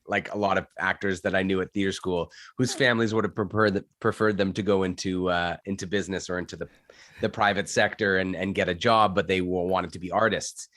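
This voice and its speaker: A man with a fairly low voice and a Canadian accent, recorded dry and close. He talks as a guest in a casual interview, telling anecdotes candidly and often breaking off to rephrase. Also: a bit bright, dry recording. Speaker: interview guest, entrepreneur and former actor. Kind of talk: casual conversational storytelling.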